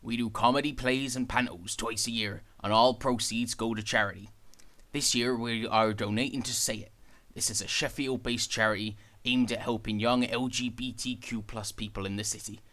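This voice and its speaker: A man's voice: mid-range voice, fairly even intonation, normal speed, sharp tone. English male announcer; friendly, upbeat read, mid-range voice.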